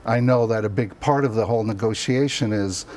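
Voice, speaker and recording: A middle-aged man, originally from Cuba, speaks in a fairly low voice. He tells personal stories as a guest in a studio interview, casual and somewhat halting in his phrasing. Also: darkish tone. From born in Cuba, raised in the New York area from childhood.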